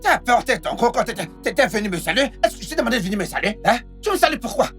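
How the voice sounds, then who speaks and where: A man's voice: very high-pitched, big pitch swings, very fast, a bit bright, faint music behind, full character voice. A man playing a volatile, scheming husband in a film melodrama, repeating himself and stammering through his words. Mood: angry, agitated. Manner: repetitive, stammering delivery. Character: volatile, scheming unfaithful husband with a short temper.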